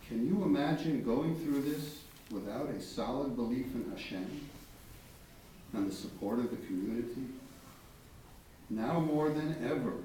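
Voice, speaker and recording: A man reading a eulogy aloud in a solemn, measured, deliberate way, with emphatic phrasing. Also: mid-range voice, a little mellow. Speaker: eulogy reader. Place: large reverberant room at a memorial gathering. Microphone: distant microphone. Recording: echoey, distant, faint background noise.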